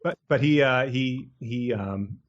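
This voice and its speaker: A man's voice: mid-range voice, slow pace, muffled recording. A man co-hosting a casual book discussion, joking wryly as he comments. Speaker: podcast co-host.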